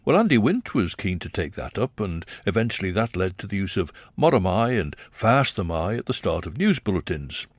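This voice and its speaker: A man narrates a scripted radio documentary in a measured, explanatory way. His voice sits low but moves through a wide, lively range. The sound is close and dry, with no room around it. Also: no room sound.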